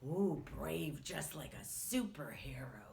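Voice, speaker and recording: A woman reading a picture book aloud to young children as a story time host, expressively, often putting questions to the listeners and pausing for their answers. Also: deep voice, lively intonation, vocal fry, darkish tone.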